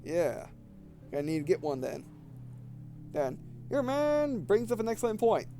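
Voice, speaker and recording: A man doing casual let's play commentary, reading game dialogue aloud and thinking out loud, often repeating and restarting his phrases. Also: sits high, big pitch swings, measured pace, soft background music. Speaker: gameplay video host.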